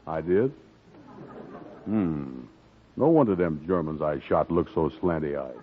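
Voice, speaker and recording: A man's voice: extremely low pitch, animated, slow, slight background noise, heavily acted. An actor playing a bumbling man who poses as a soldier, stammering and bluffing in a 1940s radio comedy. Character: bumbling smooth-talking man posing as soldier. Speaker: Andy, comic lead. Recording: tinny old radio broadcast.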